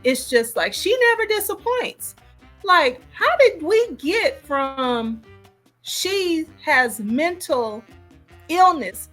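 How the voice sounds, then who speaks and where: A woman's voice: high voice, big pitch swings, slow pace, fairly bright tone, soft background music. A woman giving casual, disapproving reaction commentary as a host, emphatic and repeating points to drive them home. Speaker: host reacting to a recorded call.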